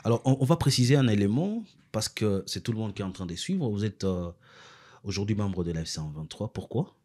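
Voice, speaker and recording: A man's voice: lowish voice, animated, fairly quick, darkish tone. A male talk show host, interviewing guests formally and often repeating himself while searching for words.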